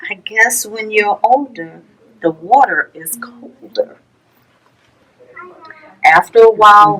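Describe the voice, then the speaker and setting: A woman reading a rhyming children's picture book aloud, with a measured sing-song delivery and pauses between phrases. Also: medium pitch, a bit bright, low-quality recording. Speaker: library storytime reader.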